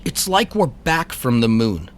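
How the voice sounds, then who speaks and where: Actor as an easygoing mid-pitched hiker. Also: male voice, very animated, a little slow, background noise. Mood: light. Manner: conversational. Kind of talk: audio fiction podcast acting.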